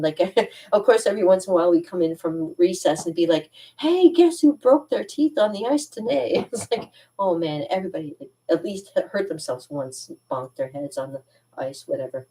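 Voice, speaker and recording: A woman's voice: low voice, distant mic, rough recording. A woman with a Canadian accent, chatting casually and rambling between songs, laughing often. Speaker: channel host. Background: loud ukulele strumming.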